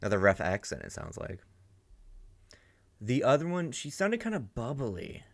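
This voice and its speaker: A man's voice: mid-range voice, huge pitch swings, normal speed, creaky. A man hosting a reaction video, musing aloud in casual commentary and often repeating himself.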